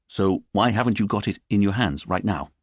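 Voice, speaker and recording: A man's voice: fairly low pitch, normal speed, no room sound, studio quality, lightly acted. A man voicing a formal, easily flustered British police chief who blusters and stammers in an audiobook story. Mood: accusing.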